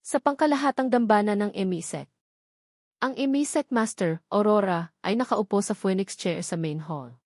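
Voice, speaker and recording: A woman's voice: medium pitch, moderate pace, a little mellow, no echo. Woman narrating a novel, dry studio sound. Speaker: audiobook narrator.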